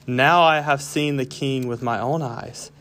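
A man reading scripted Bible story narration for a children's audio drama, in a deliberate, line-by-line way. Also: medium pitch, fairly bright tone.

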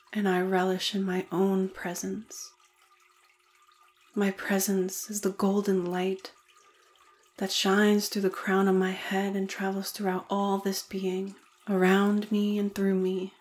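A woman leading a guided meditation in a soothing, deliberate manner with gentle pauses. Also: mid-range voice.

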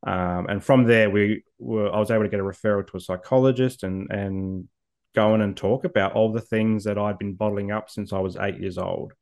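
A man's voice: lowish voice, fairly even intonation, a little fast, gravelly, a little mellow. A man in his forties, speaking reflectively as an interview guest, with frequent ums and repeated words. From Australia. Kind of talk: personal story in an interview.

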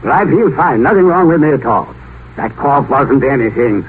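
An actor playing a rich old uncle, doting, blustering and hesitant, in a 1950s radio play. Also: male voice, mid-range voice, expressive, moderate pace, lightly acted. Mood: blustering, uneasy. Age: older. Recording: tinny, noisy old radio broadcast.

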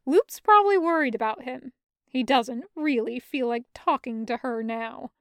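A woman reading a story aloud as an expressive audiobook narrator. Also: high-pitched, normal speed, no room sound, clean studio sound. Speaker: audiobook reader of fan fiction. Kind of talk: expressive scripted fiction narration.

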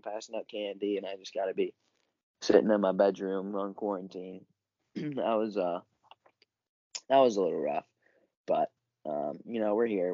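A teenage boy co-hosting a casual sports podcast, still recovering from an illness and clearing his throat, talking in a relaxed way with many fillers. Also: deep voice, dry recording. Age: teens. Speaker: podcast co-host. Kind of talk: casual sports chat and news rundown. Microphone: basic home podcast setup. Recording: dull lo-fi dry recording.

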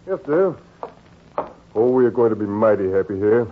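A man playing an earnest, resolute doctor and devoted husband in a 1940s radio drama, speaking deliberately. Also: fairly low pitch, animated, natural voice. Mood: contented. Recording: tinny, noisy 1940s radio recording.

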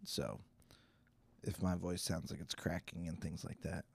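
A man with a hoarse, stuffy voice, giving a casual livestreamed Bible teaching in a chatty, self-deprecating way. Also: deep voice, fairly even intonation, measured pace. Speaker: pastor.

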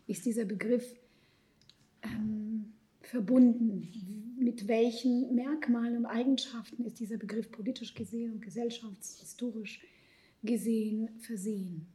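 A middle-aged woman born in Ukraine and raised in Israel, speaking as a psychologist on a discussion panel, thoughtful and hesitant with frequent fillers and soft trailing asides. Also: a little high, low-quality recording.

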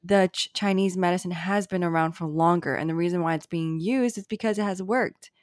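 A woman's voice: fairly low pitch, a little fast, no room sound, studio quality. A young American woman hosting a podcast, chatting in a casual, upbeat way with slangy phrasing. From United States. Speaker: podcast host. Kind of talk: casual chat.

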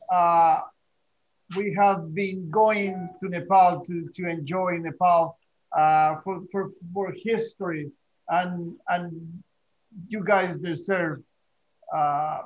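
Higher-pitched man, dull lo-fi sound. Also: fairly even intonation, slight background noise. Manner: halting, repeats phrases. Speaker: podcast panelist, mountaineer. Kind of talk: casual interview chat. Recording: dull lo-fi connection.

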